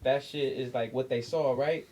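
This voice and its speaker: A man's voice: medium pitch, fairly even intonation, moderate pace, a loud crowd, poor audio. A man co-hosting a podcast in a casual chat, explaining his point insistently with lots of slang and swearing. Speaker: podcast co-host. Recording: noticeable background noise.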